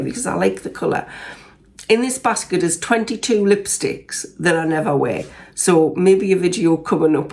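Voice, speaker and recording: A woman in her sixties with a Geordie accent talks through her favourite makeup in a relaxed, rambling way, full of ums and little restarts. Her voice sits a touch low and has a gravelly fry to it, made croakier by a cold. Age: 60s. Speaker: beauty vlogger. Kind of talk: casual makeup review vlog.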